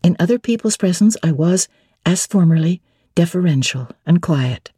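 A woman narrating classic literature as a dramatic audiobook, in a measured, expressive and formal manner. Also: on the low side, slightly rough, no room sound, studio quality.

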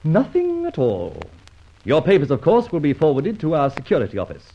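An actor playing a suave, vengeful gentleman adventurer and ex-officer, speaking with theatrical, mocking irony in an old radio drama. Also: on the high side, huge pitch swings, brisk, exaggerated voice. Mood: triumphant. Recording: muffled old radio recording.

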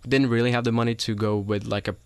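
A young man from Sweden, a racing driver guest in a studio, telling his story in relaxed casual chat with frequent ums and little laughs. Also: medium pitch, fast, a little hiss or hum.

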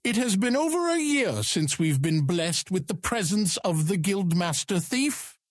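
An actor playing a courteous, authoritative middle-aged lord's official in an audiobook drama, speaking formally and graciously. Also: male voice, high voice, very animated, measured pace, no echo, clean studio sound. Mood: courteous, chatty.

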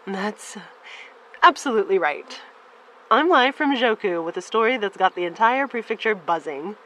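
A woman's voice: mid-range voice, lively intonation, moderate pace, bright, forceful tone. A woman playing an upbeat, polished field news reporter delivering a live report in an audio drama. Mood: upbeat, eager. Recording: noisy outdoor scene with buzzing insects. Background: humming, buzzing insects.

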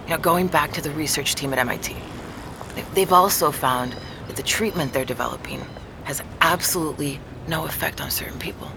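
A low-voiced measured neurologist. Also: female voice, vocal fry, background noise. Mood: explaining. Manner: explanatory, patient, weighing evidence. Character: calm, measured woman neurologist. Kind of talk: audio drama acting.

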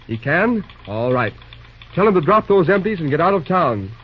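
Muffled old radio recording, faint noise, young railroad manager, businesslike, slightly high voice, earnest. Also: male voice, very animated, normal speed. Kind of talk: old-time radio drama acting.

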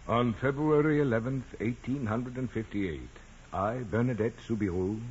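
An actor playing a formal church official, reading testimony aloud in a declamatory way in a 1940s radio drama. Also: male voice, medium pitch, normal speed, darkish tone, husky. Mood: formal, solemn. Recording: muffled vintage radio recording.

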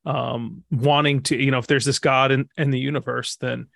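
A man in his thirties, originally from Egypt, gives reflective interview answers at a mid pitch. He pauses and restarts as he gathers his thoughts, and the recording is dry with no room sound. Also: level tone, vocal fry, a bit bright, no room sound. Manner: reflective, thinks aloud with fillers and restarts. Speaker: pastor and author guest.

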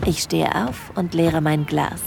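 A woman playing a shy yet bold young cruise guest in an intimate audio drama, speaking softly and hesitantly. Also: deep voice, flat delivery, a little slow, vocal fry, noisy background, exaggerated voice. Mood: intimate musing.

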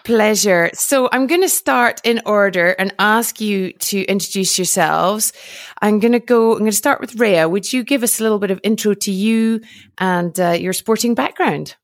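A woman's voice: medium pitch, normal speed, no echo, clean studio sound. Upbeat chatty woman podcast host. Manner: upbeat, chatty, laughs often. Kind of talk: podcast intro and interview.

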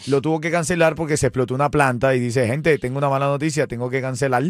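A man's voice: mid-range voice, fairly even intonation, fast talker, no room sound, clean studio sound. A man hosting a radio show in casual Spanish slang, joking and bantering informally. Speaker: morning radio show host. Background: background music.

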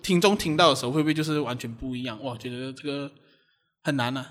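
A man with a Malaysian accent chatting casually in an animated, self-deprecating way about his own amateur performing. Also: medium pitch, fast. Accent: Malaysian Chinese-language. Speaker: amateur audio drama performer. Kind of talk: casual chat.

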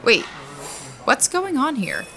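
A woman's voice: high-pitched, wildly swooping pitch, slow pace, creaky, sharp tone, a little hiss or hum, full character voice. An actress playing a frank, exasperated young woman in an audio drama, speaking bluntly to her quarrelling friends. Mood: puzzled, frank. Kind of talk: naturalistic audio drama dialogue.

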